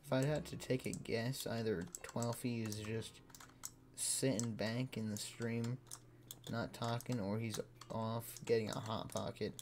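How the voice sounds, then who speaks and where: A young man streaming a game from home, with a voice he says is lost, talking slowly to his chat. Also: mid-range voice, little pitch movement, darkish tone, a little hiss or hum. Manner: slow, mumbling, talks to chat between plays. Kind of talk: casual gaming livestream chat. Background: game music and keyboard clicks.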